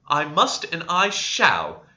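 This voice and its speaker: A man voicing a gentle, offended young gentleman suitor, earnest and soft-mannered, in a storybook reading. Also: fairly high pitch, wildly swooping pitch, slow pace, lo-fi sound, pitched above the performer's own voice, exaggerated voice. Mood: wounded.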